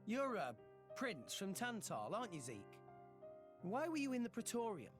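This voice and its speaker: A man's voice: high voice, wildly swooping pitch, moderate pace. A man playing an earnest, friendly, curious teenage boy in a video game cutscene. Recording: faint music behind the voice.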